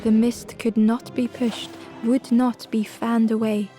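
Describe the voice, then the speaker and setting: A woman's voice: fairly high pitch, level tone, slow. A woman giving scripted, storybook-style narration for a video game over background music.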